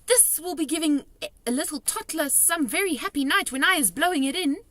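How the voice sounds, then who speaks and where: A woman voicing a gentle, excitable old male giant who mangles his grammar and invents words. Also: sits high, brisk, voice pushed up. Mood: excited. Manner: jumbled grammar, made-up words.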